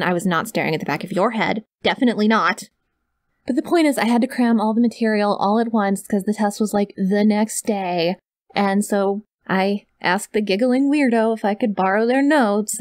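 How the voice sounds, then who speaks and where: A sweet young yandere kidnapper, acted by a woman in a comedic audio roleplay. She rambles awkwardly and insists on her innocence in a medium-pitched voice, recorded dry and close to the mic. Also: no room sound. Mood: chatty, defensive. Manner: chatty, rambling, flustered self-denials, hesitant filler words. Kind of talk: ASMR roleplay, one-sided comedic monologue to the listener. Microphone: close studio mic, dry.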